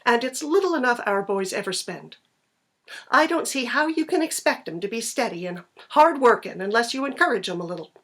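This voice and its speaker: Storybook farm wife, played by a female narrator: direct, insistent, folksy, husky-edged. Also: a little high, a bit bright. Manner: emphatic, direct, rural folksy phrasing. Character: brisk, emphatic farm wife and mother, arguing.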